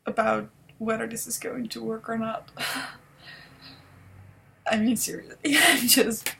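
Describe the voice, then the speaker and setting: A woman in her twenties with a Dutch accent, talking softly and hesitantly in an unscripted confessional vlog recorded on a webcam. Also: on the high side, fairly even intonation, unhurried, gravelly, a little hiss or hum. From Netherlands. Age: 20s. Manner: soft-spoken, hesitant, self-doubting. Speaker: vlogger.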